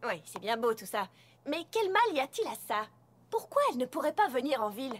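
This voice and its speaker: Bright-minded, high-voiced skeptical young pony. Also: female voice, big pitch swings, a little fast, faint music behind. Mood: skeptical, exasperated. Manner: articulate, reasoning, exasperated. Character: level-headed, bookish young female pony, skeptical and reasoning. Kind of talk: animated cartoon dialogue dub.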